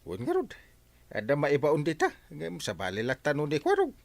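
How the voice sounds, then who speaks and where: A man's voice: a little high, huge pitch swings, moderate pace, creaky, no room sound, full character voice. A Filipino man acting a fretful, insistent middle-aged village captain in an audio drama. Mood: brisk, fretful.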